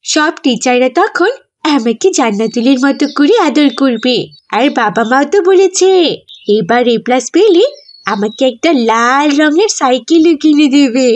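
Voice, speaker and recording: A woman's voice: high-pitched, lively intonation, unhurried. A woman voicing a young, scheming schoolgirl in a children's cartoon. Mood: gleeful, scheming.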